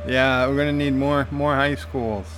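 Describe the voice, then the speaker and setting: A man's voice: medium pitch, steady tone, moderate pace, fairly bright tone, soft background music. A man streaming a game, reading viewer comments aloud and answering them casually, with lots of "uh" fillers.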